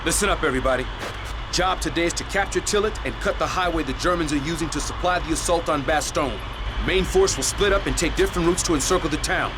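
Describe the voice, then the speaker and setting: A man's voice: fairly high pitch, fast talker, natural voice. A man playing a determined American tank sergeant in a war game, giving firm, commanding orders. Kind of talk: war game cutscene acting. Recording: battlefield and engine noise behind.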